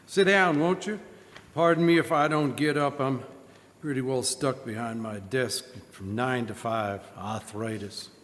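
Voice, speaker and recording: A man's voice: medium pitch, measured pace, fairly bright tone, natural voice. A man playing an affable, fussy, arthritic insurance agent in old-time radio drama style. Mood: hearty. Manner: hearty, fussy, repeats himself. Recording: live stage, reverberant church hall.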